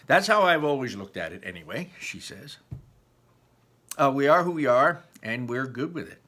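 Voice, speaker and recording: Bright-voiced man reading a story aloud. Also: medium pitch. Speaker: teacher reading a novel aloud. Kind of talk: read-aloud storytelling with personal asides.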